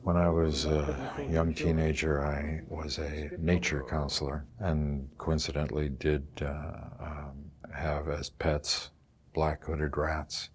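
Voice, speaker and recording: A man in an interview calmly recalling keeping pets as a teenager, matter-of-fact. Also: a bass voice, slow. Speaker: actor. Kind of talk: interview recollection.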